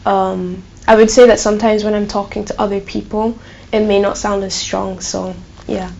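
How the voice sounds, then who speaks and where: A woman's voice: mid-range voice, flat delivery, moderate pace, creaky, darkish tone, lo-fi sound. A woman in her twenties with a light Glaswegian Scottish accent, answering interview questions casually with many ums and likes. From Burundi. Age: 20s. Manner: chatty, many fillers like um and like. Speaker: student interviewee.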